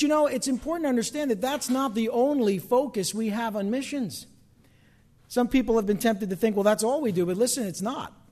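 Pastor, male, notably high voice, earnest sermon delivery. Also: a little mellow. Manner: earnest, exhorting.

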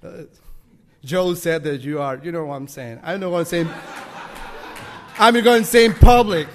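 Salvadoran man, Spanish accent, slightly high voice, halting friendly church talk. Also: a little hiss or hum. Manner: halting non-native English, self-deprecating, laughing often. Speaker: missionary leader. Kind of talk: guest address to a congregation.

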